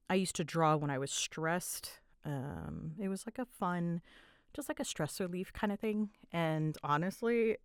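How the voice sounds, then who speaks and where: Young female creator, casual Q&A chat, a touch low-pitched, informal and giggly, very dry sound. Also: fairly bright tone, no echo. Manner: self-deprecating, giggly, informal. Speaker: voice actor and channel creator. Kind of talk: casual solo Q&A chat to listeners.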